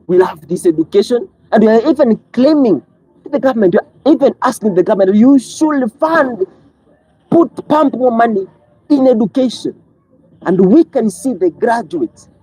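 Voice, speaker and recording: A commentator giving an impassioned monologue. The speaker is preachy, asks rhetorical question after question, and swings between loud outbursts and low pleading. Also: breathy edge, dry recording, poor audio. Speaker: online commentator.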